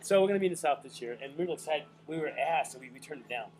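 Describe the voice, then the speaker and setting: A man's voice: a little high, lively intonation, racing, darkish tone, low-quality recording. Rambling man on a live stream. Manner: rambling, stumbling restarts. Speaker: show host. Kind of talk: casual chat.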